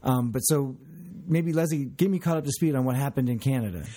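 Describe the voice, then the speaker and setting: A man co-hosting a discussion, talking passionately and emphatically, with a loud voice. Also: mid-range voice, brisk. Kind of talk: casual discussion.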